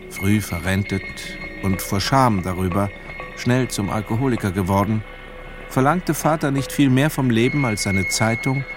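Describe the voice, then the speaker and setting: A son narrating a radio play, lowish voice, sober literary reading with careful pauses, music behind. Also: male voice. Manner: measured, deliberate pauses. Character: reflective grown son narrating memories of his father.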